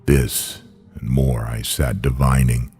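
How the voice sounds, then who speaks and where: A man reciting gothic horror verse as a radio story narrator, with theatrical, brooding, deliberate phrasing. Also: a bass voice, level tone, darkish tone, a little hiss or hum. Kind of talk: dramatic gothic poetry recitation. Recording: music bed under the voice. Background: background music.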